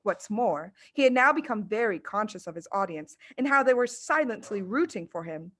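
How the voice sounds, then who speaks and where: A woman reading a children's story aloud as expressive scripted narration. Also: mid-range voice, moderate pace. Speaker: storybook reader.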